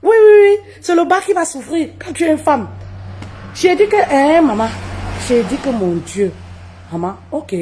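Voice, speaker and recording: Animated woman. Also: moderate pace, a little hiss or hum. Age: 30s. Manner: expressive, swoops high for emphasis, drops low and quiet, laughs often. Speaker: live streamer telling her story. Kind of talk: animated personal storytelling. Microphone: phone on a live stream.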